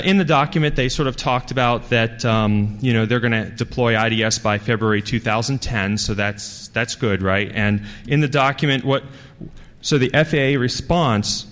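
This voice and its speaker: A man giving an informal technical talk as a conference speaker, with a halting, rambling, conversational delivery. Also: on the low side, fairly bright tone. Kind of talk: informal technical presentation to an audience. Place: large conference hall, speaking to a live audience. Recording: reverberant hall sound.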